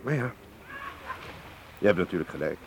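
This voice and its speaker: A noisy radio play recording of a suave Russian gentleman. His voice is on the low side and moves freely up and down. He is gallant and urbane, teasing yet careful about what he gives away. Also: animated. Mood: guarded, intrigued. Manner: urbane, teasing, deliberate, with small questioning hums. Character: suave, gallant, guarded Russian museum man. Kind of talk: radio drama acting.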